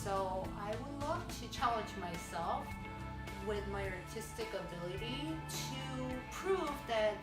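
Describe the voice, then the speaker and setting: Slow-speaking Korean-accented woman, echoey room. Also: mid-range voice. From South Korea. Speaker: nail artist, contest entrant. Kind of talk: personal audition video talk. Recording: roomy echo under clear music.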